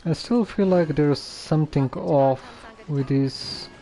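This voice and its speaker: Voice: medium pitch, takes it slowly, mellow, slight background noise, poor audio. A game streamer giving casual live commentary in a musing, hesitant manner.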